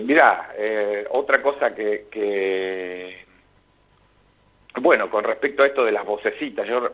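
A man's voice: on the low side, fairly bright tone, lo-fi sound. A middle-aged Argentine man with an Argentine accent, a radio guest talking in a reflective, rambling way and laughing often. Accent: Argentine Spanish. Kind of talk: casual radio interview.

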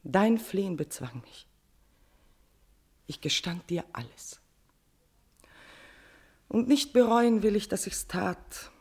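An actress playing a guilt-tormented, passionate queen in a classical verse tragedy for radio, declaiming with shifts between hushed and forceful delivery. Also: fairly low pitch, creaky, slightly rough, heavily acted. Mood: guilty despair. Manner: declamatory, swinging from whispers to outbursts.

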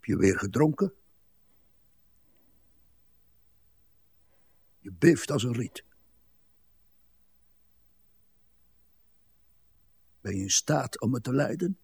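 An actor playing a grumpy, pedantic old husband, bitter and weary, in a radio play. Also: male voice, medium pitch, lively intonation, brisk, creaky, a little mellow, slightly rough, no echo, full character voice. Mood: irritable. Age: older. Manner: irritable, complaining, pedantic.

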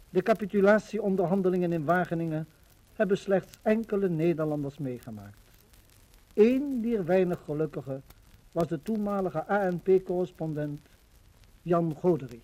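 A man's voice: high voice, normal speed, warm tone. A man narrating a scripted 1950s radio documentary in a formal, solemn, declamatory way, on a noisy old recording.

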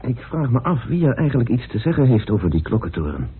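A man's voice: mid-range voice, very animated, fast talker, close to an everyday voice. An actor playing a determined, authoritative committee chairman in an old radio play. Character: committee chairman, a determined, brisk British parliamentarian. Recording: scratchy lo-fi old radio recording.

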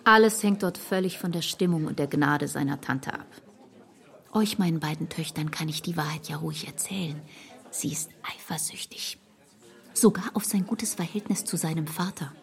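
A gentle, worried motherly woman. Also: low-pitched, normal speed, creaky. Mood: confiding. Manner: gentle, confiding.

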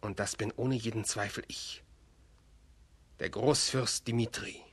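An actor playing an evil, arrogant grand duke in a children's radio play, haughty and menacing. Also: male voice, fairly low pitch, fairly even intonation, measured pace, fairly bright tone, breathy edge, exaggerated voice. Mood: smug, threatening.

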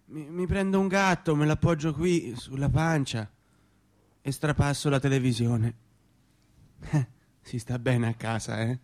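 A man's voice: medium pitch, a little mellow, lightly acted. An actor playing a nostalgic, slightly pompous provincial man, mixing inflated words with homely talk in a stage drama.

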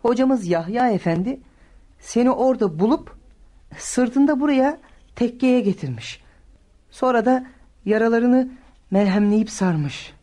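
Mellow, high-voiced devoted child. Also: female voice, animated, unhurried, pauses often. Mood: soft, reverent. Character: devoted, anxious, reverent child of ailing father. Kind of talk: Turkish radio theatre acting.

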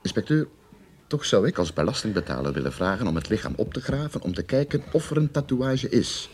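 A man's voice: lowish voice, big pitch swings, brisk, a little mellow. A man playing a wordy, persistent private detective in a radio play, formal and easily indignant. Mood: protesting. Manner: pompous, formal. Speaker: radio drama actor.

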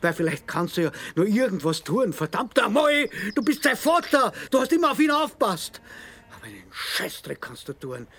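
An actor playing a bitter, vengeful grieving father in a radio drama, swinging from cold menace to shouting grief. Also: high voice, sing-song, normal speed, breathy edge, exaggerated voice. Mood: raging grief.

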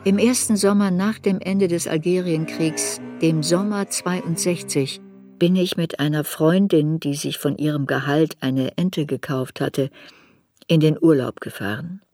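An actress playing an older woman writer who soberly looks back on her youth in a measured, reflective radio drama narration. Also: low-pitched, mellow, faint music behind. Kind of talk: literary radio drama narration in first person.

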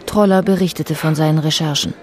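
A woman narrating a radio crime drama in a measured, even storytelling manner. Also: sits low. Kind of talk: scripted narration.

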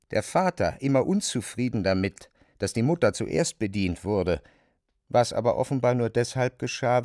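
An actor as the observant narrator of a bleak village tale, reading scripted literary narration in a German radio play. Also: male voice, mid-range voice, darkish tone, no echo, studio quality, close to an everyday voice.

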